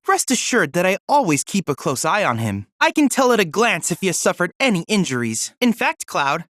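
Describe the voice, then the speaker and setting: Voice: medium pitch, fairly bright tone, no echo, clean studio sound. An actor playing a polite, scholarly teenage boy android research assistant in a video game, speaking in a formal, precise, courteous way. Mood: pleased, confident. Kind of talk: scripted video game character dialogue.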